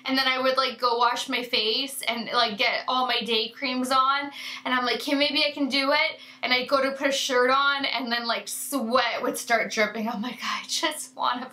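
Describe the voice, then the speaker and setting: Bright, high-pitched nasal woman vlogger. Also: fairly even intonation, moderate pace, roomy sound. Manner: chatty, effusive, gushing. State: nasal from a sinus infection. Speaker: beauty vlogger. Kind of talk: casual to-camera review. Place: home room lined with makeup shelves.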